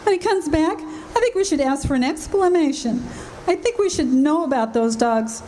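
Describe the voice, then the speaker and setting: A woman's voice: high voice, expressive, normal speed, warm tone, slight background noise, rough recording. A woman playing an anxious, curious lady companion, fretful and dramatic, in an old-time radio mystery. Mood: insistent. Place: live stage performance in a cafe.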